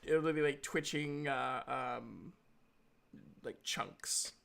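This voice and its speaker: A man's voice: fairly high pitch, slow, gravelly, fairly bright tone. A man running a tabletop game as game master, narrating and chatting casually.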